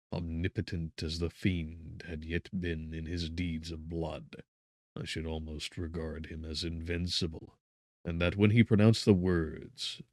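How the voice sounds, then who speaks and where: A man narrating a literary audiobook in a solemn, theatrical manner, with deliberate, emphatic phrasing. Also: very deep voice, gravelly, a little mellow, no room sound, studio quality.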